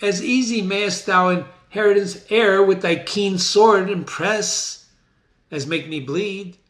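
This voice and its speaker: A man's voice: high voice, slow pace, a bit bright, voice pushed up. A man playing Macbeth, a proud, defiant, doomed warrior king, declaiming archaic verse.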